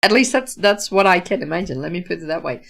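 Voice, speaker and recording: A woman's voice: on the low side, animated, fast, gravelly, a bit bright. A Dutch woman with a Dutch accent, casually hosting a livestream, answering viewer comments in a thoughtful way, with many fillers and restarts. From Netherlands. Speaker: livestream host, author.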